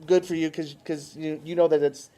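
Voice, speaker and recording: A man hosting a casual interview, halting and repeating words as he asks friendly questions. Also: a little high, level tone, dark tone. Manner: laughs easily, friendly questioning. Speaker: host.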